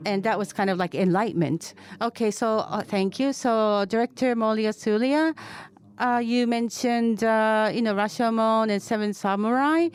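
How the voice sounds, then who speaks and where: A woman interpreting and moderating at a press conference, measured and careful. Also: a little high.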